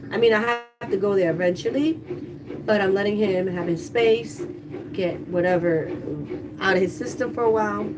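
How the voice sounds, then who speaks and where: A woman's voice: mid-range voice, moderate pace, recorded from a distance, background noise. A woman livestreaming casually from her kitchen, chatty and candid as she talks to viewers, with a stuffy, congested voice. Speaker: livestreaming YouTuber. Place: kitchen at home, household noise.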